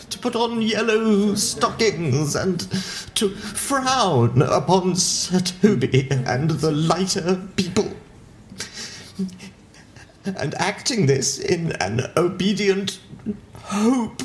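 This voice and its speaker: A man's voice: high voice, a little hiss or hum. A man playing a pompous, wronged steward in a theatrical audio play, declaiming with anguished indignation. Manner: pompous, declamatory, drawn-out delivery.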